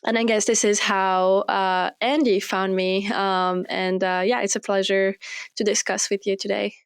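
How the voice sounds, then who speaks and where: Smooth-voiced woman guest from Morocco. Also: medium pitch, flat delivery, no room sound. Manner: measured, many fillers and restarts. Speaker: research fellow guest. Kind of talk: interview answers.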